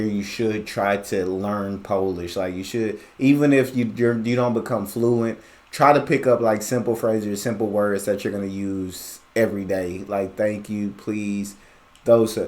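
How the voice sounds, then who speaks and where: A man's voice: on the low side, darkish tone. An American man reacting on a video, thinking aloud casually with many fillers and hedges. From United States.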